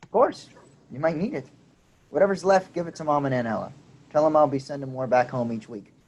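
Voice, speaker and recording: Guy acting laid-back young farm-family man, husky rough voice, agreeable, stage reading. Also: fairly high pitch, lively intonation, a little fast, a little mellow. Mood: reassuring.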